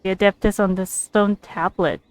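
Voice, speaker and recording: A woman's voice: medium pitch, steady tone, normal speed, smooth. A woman giving casual let's play commentary, reacting and musing aloud as she goes. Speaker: video game streamer.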